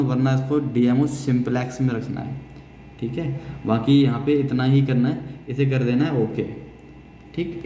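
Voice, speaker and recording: An Indian man works through a technical tutorial, repeating key phrases as he explains each step. His voice is mid-pitched and soft-edged, with no sharpness. The room is very echoey, with some background noise. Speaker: technical instructor. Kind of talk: hands-on spoken software tutorial. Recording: echoey reverberant room, noisy, distant sound.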